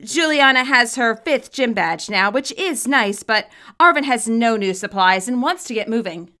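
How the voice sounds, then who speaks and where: A woman reading scripted commentary and story narration, expressive and wry as the author of the tale. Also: on the high side, normal speed. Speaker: fan fiction author and narrator.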